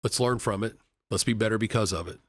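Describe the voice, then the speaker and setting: An earnest executive from Kentucky talks in a casual podcast interview, his voice sitting a little low. He often trips over his words and restarts his sentences. The sound is dry and close, with no room echo. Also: fast talker. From Kentucky, USA. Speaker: company executive vice president. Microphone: studio podcast mic.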